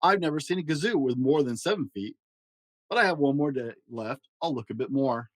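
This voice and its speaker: A man's voice: medium pitch, expressive, a little fast, no room sound, lightly acted. Clever young fairy-tale prince, played by a male reader, curious, declaring his wonder, dry recording. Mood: curious, musing. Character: smart, bookish young storybook prince, curious and marveling.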